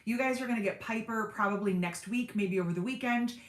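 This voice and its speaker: A woman hosting a casual sewing livestream, reading viewer comments and chatting with lots of fillers and self-deprecating asides, with a fairly deep everyday voice. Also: fast, reverberant. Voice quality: deep speaking voice in everyday talk. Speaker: sewing channel host on a livestream.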